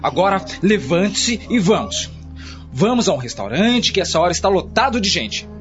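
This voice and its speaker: A stern, hot-tempered father acted by a man gives orders in a high, animated voice. The radio drama recording is dull, with faint music behind. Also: normal speed. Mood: angry, commanding. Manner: commanding, emphatic.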